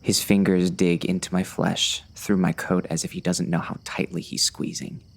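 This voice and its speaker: A man playing an uneasy gunman who narrates a western audiobook in a brooding, gravelly, dramatic way. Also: low-pitched, little pitch movement, moderate pace. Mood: tense, wary. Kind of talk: first-person audiobook narration, present tense.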